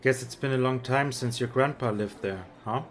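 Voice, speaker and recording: A man's voice: mid-range voice, normal speed, close to an everyday voice. A man playing a weary, resigned middle-aged father in an amateur audiobook drama, speaking frankly in a casual, subdued way. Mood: concerned. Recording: background crowd chatter.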